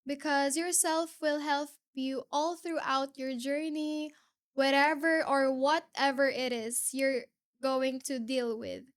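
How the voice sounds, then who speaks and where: A nineteen-year-old Filipino woman with a Filipino accent, a pageant winner answering interview questions in a measured, careful way with small fillers. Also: high-pitched, fairly even intonation, no room sound, clean studio sound. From Philippines. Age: teens.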